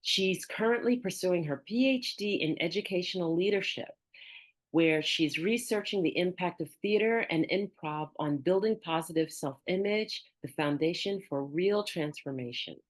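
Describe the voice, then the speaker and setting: A woman's voice: lowish voice, normal speed. A woman hosting a podcast, moving between a prepared introduction and conversational interviewing. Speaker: podcast host.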